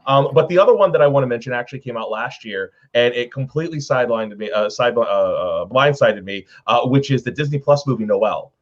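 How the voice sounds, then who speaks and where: A man's voice: mid-range voice, expressive, fairly quick, hardly any pauses. A man speaking enthusiastically and emphatically in a casual panel chat about films over a video call. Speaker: podcast panelist. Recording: slightly dull, lo-fi call audio.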